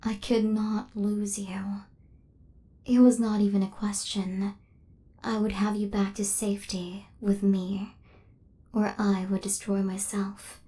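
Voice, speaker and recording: A woman playing an injured, devoted female killer robot in an intimate ASMR roleplay, with a soft, breathy half-whisper and formal, precise phrasing. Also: medium pitch, flat delivery, slow pace, natural voice. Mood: solemn, devoted.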